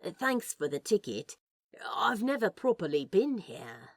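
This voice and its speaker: A woman's voice: medium pitch, big pitch swings, a little slow. A woman voicing a laid-back, shyly charmed man with a casual drawling manner in a fan audio drama.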